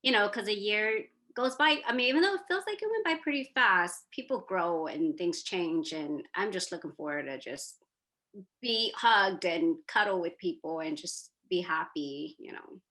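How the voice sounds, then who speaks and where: A woman speaking as a panelist in a gentle, easygoing way. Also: mid-range voice, expressive, moderate pace. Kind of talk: casual panel discussion.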